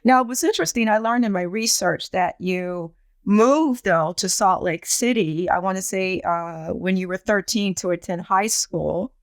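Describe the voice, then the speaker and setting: A woman's voice: medium pitch, moderate pace, no echo, clean studio sound. A middle-aged woman hosting a podcast, asking questions and encouraging her guest with affirming murmurs. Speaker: podcast host. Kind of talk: interview host.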